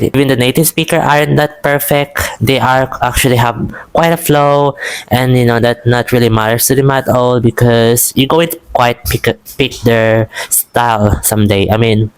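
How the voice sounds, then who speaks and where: Smooth-voiced Filipino man, encouraging chat. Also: mid-range voice, rough recording. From Philippines. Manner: rambling, encouraging, restarts often. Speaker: vlogger. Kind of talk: casual talk to camera about learning English.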